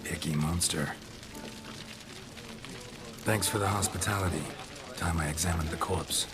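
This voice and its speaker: A laconic monster hunter with a low, deep voice. He is dry and unflappable, delivering short deadpan sentences as in a fantasy video game. Loud music plays behind him. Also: a little slow. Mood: businesslike. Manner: terse, clipped sentences, deadpan.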